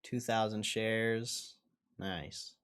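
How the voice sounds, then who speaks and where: A man's voice: on the low side, fairly even intonation, very slow, fairly bright tone, clean tone. Casual male livestream host. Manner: informal, slangy, repeats phrases. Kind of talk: casual livestream chat, reading out viewer comments.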